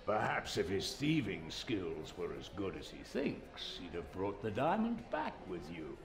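An actor playing a stern, manipulative middle-aged spymaster father in a video game, commanding, measured and dismissive. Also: low-pitched, huge pitch swings, a crowd in the background, exaggerated voice. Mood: stern, defensive. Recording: roomy, faint background noise.

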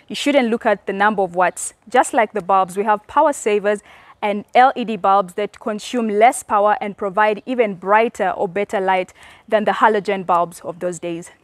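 A woman's voice: mid-range voice, dry recording. Woman presenter, dry close sound. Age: young adult. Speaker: product video presenter. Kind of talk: scripted presentation to camera. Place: outdoors in a leafy garden.

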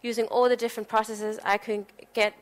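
A woman's voice: fairly high pitch, level tone, brisk. A young woman from Denmark giving a conference talk on her design work, speaking informally with frequent "um"s. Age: young adult. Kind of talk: conference presentation.

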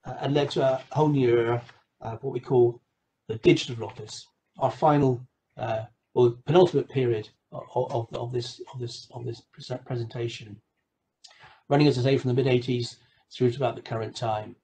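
A man giving an explanatory slide lecture over a video call, measured, with emphatic phrase openings. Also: mid-range voice, steady tone, darkish tone, low-quality recording. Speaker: guest lecturer presenting a webinar.

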